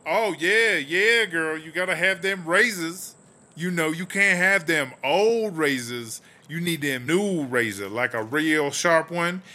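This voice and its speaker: A man playing a sassy, gossipy, foul-mouthed streetwise woman inmate in a comedy audio drama, with slangy, drawn-out exclamations. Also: fairly high pitch, pushed and bright. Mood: chatty. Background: music.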